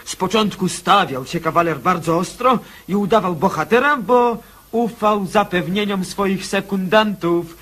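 An actor playing a gallant, self-assured young suitor in a radio comedy, with a husky voice. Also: male voice, high-pitched, a little slow, bright, forceful tone, full character voice. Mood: self-satisfied.

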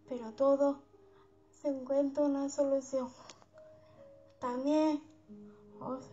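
A woman hosting a guided meditation for sleep, speaking in a soothing, unhurried, affectionate manner. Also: sits high, mellow. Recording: dull lo-fi sound under background music.

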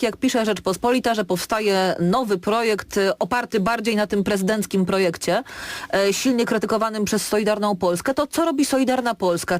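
A woman's voice: mid-range voice, no room sound. A woman hosting a radio interview, polite and formal, steering the guest with time cues. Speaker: radio host.

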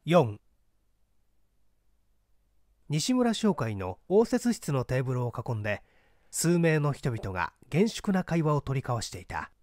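A man reading literary mystery fiction aloud in a measured, theatrical storytelling manner. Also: medium pitch, very animated, a little mellow. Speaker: audiobook reader and small-theatre actor. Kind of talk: dramatized literary mystery narration.

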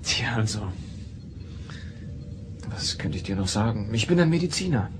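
An actor playing a modest, hesitant young doctor in a sci-fi audio drama, mild and tentative. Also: male voice, fairly low pitch, very animated, moderate pace, over music. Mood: mild, unsure.

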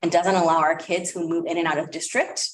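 Low-voiced woman, echoey and distant. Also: level tone, fairly quick. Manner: casual and conversational, many fillers. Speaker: district administrator presenting curriculum. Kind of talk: presentation at a school board meeting. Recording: echoey room, voice far from mic.

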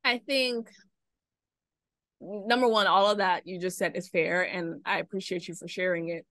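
Young woman, podcast host, bright tone, dry close sound. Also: on the low side, fairly even intonation, moderate pace, no room sound. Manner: reflective, conversational, uses "right?" often. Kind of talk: video call interview. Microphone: video call webcam.

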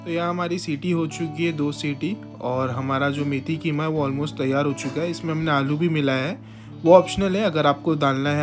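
A young man hosting a home cooking channel, explaining a recipe step by step in a chatty, friendly way with frequent fillers. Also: on the high side. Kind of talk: voice-over recipe narration. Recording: soft guitar music behind.

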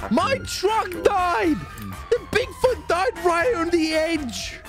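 A man hosting a gaming video, giving excitable roleplay gameplay commentary, talking to himself, swearing and breaking into shouts. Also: very high voice, slow pace, music in the background. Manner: excitable, swearing, shouting outbursts, self-talk.

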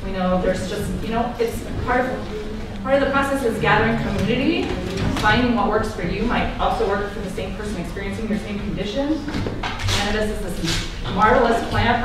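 A woman giving a chatty welcome and introduction to a live audience in a large hall, with plenty of filler words, heard from a distant camera. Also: mid-range voice. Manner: warm, chatty, frequent um fillers. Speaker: event organizer from the dispensary. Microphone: far camera, no microphone. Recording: very echoey hall, voice from far off, clear background noise.

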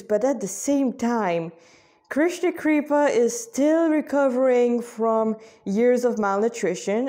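A woman's voice: a little high, dark tone. A woman reading their fan fiction aloud as the author, with chatty asides, often stumbling and rereading phrases. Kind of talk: informal read-aloud narration with chatty asides.

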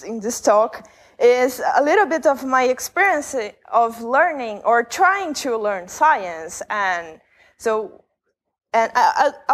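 A young woman from Brazil with a Brazilian Portuguese accent giving a conference talk in a large lecture hall, speaking conversationally with many fillers and restarts. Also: sits high. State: jet-lagged. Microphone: stage mic, camera from far back.

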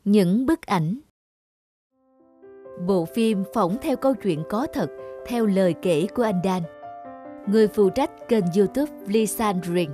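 A woman narrates from a script in a medium-pitched voice. Light music plays behind her. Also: slow pace, pauses often, warm tone.

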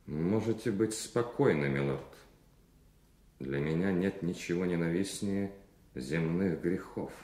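A man's voice: low-pitched, measured pace, a little mellow. An actor playing a devout, gullible puritan officer in a radio drama, solemn and earnest in his declamation. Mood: solemn, restrained. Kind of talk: classic radio drama acting.

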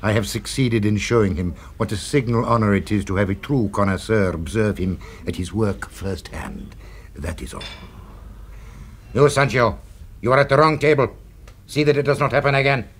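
An actor playing a suave, formal restaurateur in a 1970s radio drama, with measured, ingratiating speech. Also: male voice, fairly low pitch, fairly even intonation, a little hiss or hum, close to an everyday voice. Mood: stern. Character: suave, courteous male restaurateur, commanding with staff.

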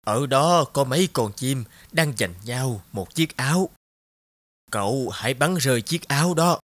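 Guy doing mysterious poor granny in a storybook, raspy, dry sound. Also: medium pitch, expressive, a little slow, fairly bright tone, no room sound. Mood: kindly, pleading. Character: poor hungry old woman, kindly and mysterious. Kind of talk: storybook narration.

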